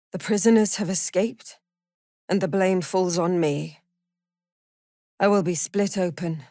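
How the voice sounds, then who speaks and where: A woman playing a cruel, haughty prison warden in a fantasy video game, menacing and condescending, with measured, deliberate delivery. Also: on the low side, dry recording, studio quality, lightly acted. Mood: grim dread. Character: cruel, haughty female prison warden, zealous cult follower.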